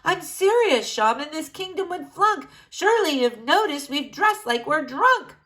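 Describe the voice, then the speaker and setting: Woman as a storybook king, male, fussy, pouty, complaining, higher-pitched, very animated. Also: measured pace, full character voice. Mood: pouting, griping. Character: unhappy, fussy young king who feels he doesn't belong.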